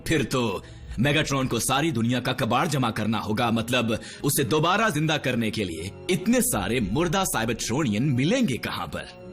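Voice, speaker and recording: An actor playing a grumpy, sceptical robot engineer in a cartoon dub, grumbling and expressive. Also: male voice, mid-range voice, moderate pace, faint music behind. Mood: puzzled, sceptical. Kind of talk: dubbed cartoon acting.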